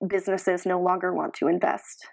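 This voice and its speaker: A woman's voice: lowish voice, little pitch movement, normal speed, creaky, a little mellow. A woman co-hosting a podcast, casually discussing sports and giving reasoned opinions. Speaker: podcast co-host.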